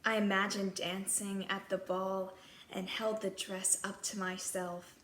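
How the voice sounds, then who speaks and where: A woman's voice: mid-range voice, little pitch movement, unhurried, fairly bright tone. A woman narrating a scripted children's fairy tale in the first person, in character as a princess. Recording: echoey room, clear background noise.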